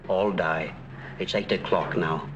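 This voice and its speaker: An actor playing a kindly, chummy English ex-boxer cab driver in a 1940s film. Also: male voice, sits low, fairly even intonation, measured pace, muffled recording, noisy background. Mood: gentle, reassuring. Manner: chummy, soothing, colloquial. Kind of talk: 1940s film noir acting. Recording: old 1940s film soundtrack with music.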